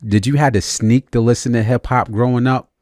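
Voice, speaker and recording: A man's voice: medium pitch, steady tone, fairly quick, darkish tone, no room sound, studio quality. An American man working as an interviewer, chatting casually in an agreeable, slangy manner. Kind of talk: casual conversation.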